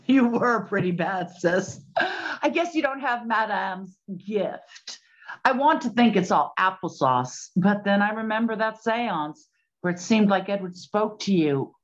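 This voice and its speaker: Woman as practical lady sleuth of the twenties, period drama, mid-pitched, brisk and slangy, slightly muffled recording. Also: lively intonation, close to an everyday voice. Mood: matter-of-fact. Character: plucky, practical 1920s lady detective, bossy sister. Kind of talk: scripted period radio drama acting.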